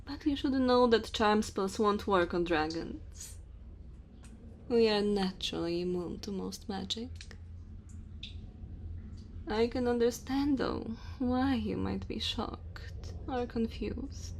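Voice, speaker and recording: A woman voicing a dominant, teasing female dragon in an ASMR roleplay, speaking slowly and theatrically to the listener. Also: medium pitch, darkish tone. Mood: amused, proud. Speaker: ASMR voice performer. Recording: faint cave ambience noise. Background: cave and fire sound effects.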